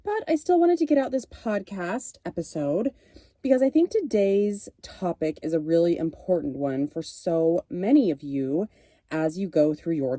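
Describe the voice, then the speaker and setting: A woman's voice: fairly low pitch, warm tone, no echo, studio quality. A woman podcast host and confidence coach with a cold, giving an earnest, encouraging solo talk. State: has a cold.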